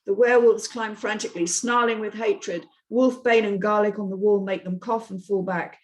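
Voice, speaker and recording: Mid-pitched woman reading stage directions. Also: steady tone, normal speed, low-quality recording. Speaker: script reading host and narrator. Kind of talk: reading screenplay action lines.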